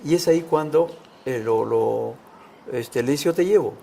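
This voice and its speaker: A man with a gravelly voice, talking casually and haltingly as a guest author. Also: mid-range voice, mellow, slight background noise. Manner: hesitant, halting phrasing. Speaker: guest author, children's writer. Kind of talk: casual interview talk and storytelling.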